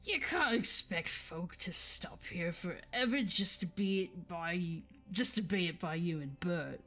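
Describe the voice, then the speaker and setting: A woman voicing a surly, coarse male troll with a rough put-on accent in a storybook reading. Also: lowish voice, expressive, unhurried, a bit bright, soft background music.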